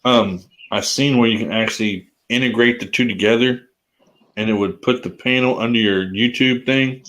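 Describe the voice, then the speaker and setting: A man hosting a livestream video chat, relaxed, chuckling and agreeing along with his guests. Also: mid-range voice, normal speed. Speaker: livestream host.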